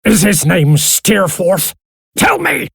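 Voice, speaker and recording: A man voicing a fiery, anguished older uncle in an audiobook novel, forceful and emotional. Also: high-pitched, very animated, measured pace, no room sound, clean studio sound, pitched above the performer's own voice, full character voice. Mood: furious. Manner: forceful, emotional, often shouting.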